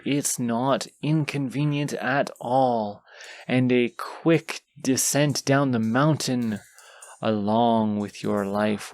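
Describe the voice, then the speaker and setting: Man acting as a proud wounded dragon, very slow drawn-out delivery, mid-pitched, pompous, condescending, theatrical fantasy roleplay. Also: darkish tone. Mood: sly, coaxing. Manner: pompous, deliberate, drawn-out phrasing.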